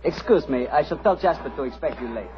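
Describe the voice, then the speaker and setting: An actor as grave, higher-voiced Romany man. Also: lively intonation, fast, close to an everyday voice. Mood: guarded. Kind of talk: 1940s radio acting. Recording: muffled old radio drama, music.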